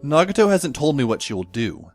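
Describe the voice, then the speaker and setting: A man's voice: a little high, very animated, brisk. A man playing a sardonic, exasperated teenage schoolboy who narrates and acts his own story in an amateur audio drama, wordy and dry. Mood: evasive. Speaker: narrator and lead character. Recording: faint music behind the voice. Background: faint music.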